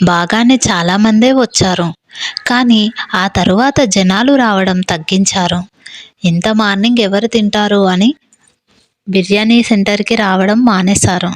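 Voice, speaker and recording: A woman's voice: medium pitch, no room sound. A woman giving scripted narration of a moral story.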